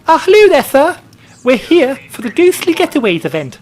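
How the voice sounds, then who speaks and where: Jolly posh reporter, done by the narrator, pitched well up, loud, plummy exclamations. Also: very animated, normal speed. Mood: hearty. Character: jolly upper-class journalist.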